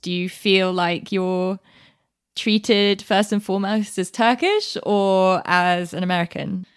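A woman's voice: medium pitch, fairly even intonation, takes it slowly, clear, resonant tone. A woman with a British accent hosting a podcast and interviewing a guest in a conversational way. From Britain.

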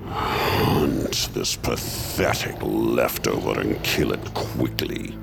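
A man playing a monstrous, gloating villain leader in an audio horror comedy, with a gravelly growl and a pompous, theatrical delivery. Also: a bass voice, lively intonation, takes it slowly, noisy background, exaggerated voice. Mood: menacing. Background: loud music.

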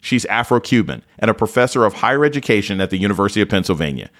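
A male radio host with a very deep voice introduces his guest from a script. He asks his questions in a measured, calm and steady way.

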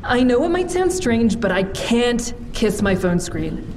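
A woman's voice: fairly high pitch, sing-song, measured pace, darkish tone, slight background noise. An actress playing a guarded, wry woman who narrates her life in a first-person audio drama with dry humor. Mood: wry, comic.

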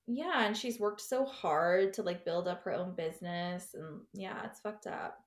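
A woman's voice: lowish voice, unhurried. A woman chatting casually as a podcast guest, slangy and peppering her speech with "like". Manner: slangy, heavy use of "like". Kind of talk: casual, giggly anecdote chat.

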